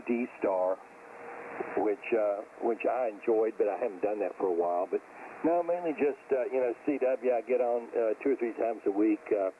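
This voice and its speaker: A man's voice: mid-range voice, narrow, tinny audio. A man chatting casually as an amateur radio operator over shortwave radio, rambling hesitantly and often repeating words. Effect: shortwave ham radio transmission. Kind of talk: casual radio round-table chat. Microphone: radio hand mic. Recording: noisy, band-limited, distant shortwave radio signal.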